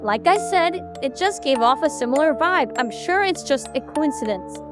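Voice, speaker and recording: A woman voices a sardonic young woman idol in an animated audio drama. She is high-pitched, blunt and resentful, bossy with friends, with music running under her words. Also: moderate pace. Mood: bitter, guarded. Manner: blunt, sarcastic, swearing.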